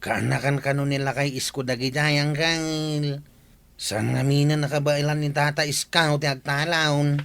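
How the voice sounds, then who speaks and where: A fairly high Ilocano-accented man's voice, played by an actor, as a chatty village gossip. He is agreeable and nosy, in a radio comedy. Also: steady tone, moderate pace, vocal fry, fairly bright tone, smooth, dry recording. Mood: gossipy.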